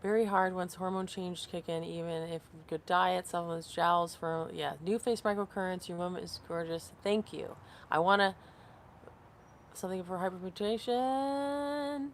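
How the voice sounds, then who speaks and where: A woman's voice: fairly low pitch, normal speed, a little hiss or hum. Rambling woman in her thirties. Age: 30s. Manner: rambling, reads comments aloud and loses her train of thought. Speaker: skincare vlogger hosting a live stream. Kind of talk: casual live-stream chat, answering viewer comments.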